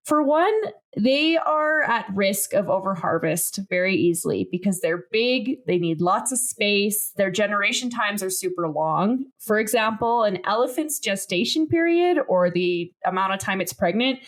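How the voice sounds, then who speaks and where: A young adult woman hosting a science podcast in casual chat, joking and explaining plainly. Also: mid-range voice. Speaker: podcast host.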